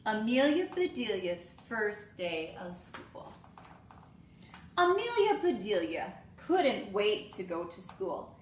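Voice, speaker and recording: A woman reads a storybook to young children at an unhurried pace. Her delivery is expressive and very melodic, recorded in an echoing room with noticeable background noise. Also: medium pitch. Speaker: school staff member reading aloud to students. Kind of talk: children's storybook read-aloud. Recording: roomy echo, clear background noise.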